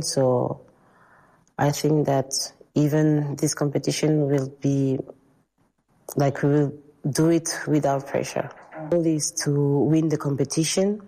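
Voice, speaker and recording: A woman footballer giving calm, deliberate interview answers. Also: low voice, rough recording. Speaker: national team footballer.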